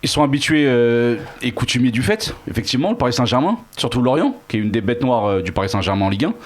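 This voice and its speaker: A man's voice: medium pitch, big pitch swings, fast talker. A man giving his analysis as a regular pundit in an unscripted football panel discussion.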